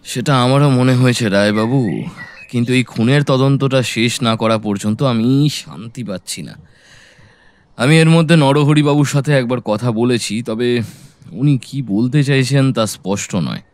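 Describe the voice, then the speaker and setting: Resolute male detective played by an actor, medium pitch, composed, probing, very dry sound, audio drama. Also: moderate pace, dry recording, natural voice. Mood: measured. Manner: composed, pointed questioning.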